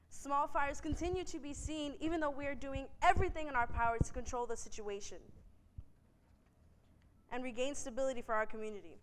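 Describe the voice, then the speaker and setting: A woman playing a company security officer giving a formal, measured press statement in a stage drama. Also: high voice, natural voice.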